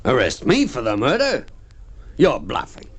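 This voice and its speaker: A man's voice: mid-range voice, wildly swooping pitch, unhurried, husky, full character voice. A man playing a smug, suave company director with a glib, self-assured manner in a mid-century radio drama. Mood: sardonic, challenging.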